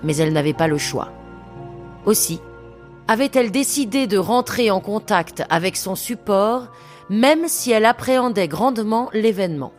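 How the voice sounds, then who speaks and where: A woman narrating a scripted literary audio drama in a formal, measured storytelling manner. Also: mid-range voice, lively intonation, faint music behind, lightly acted.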